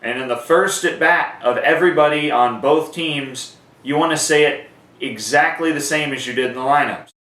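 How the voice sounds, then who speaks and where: A man's voice: on the high side, moderate pace, a bit bright. Man teaching in an echoey room. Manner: informal, conversational, explanatory. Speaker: sports announcing instructor. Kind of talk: instructional how-to talk with demonstrations. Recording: echoey room, distant microphone.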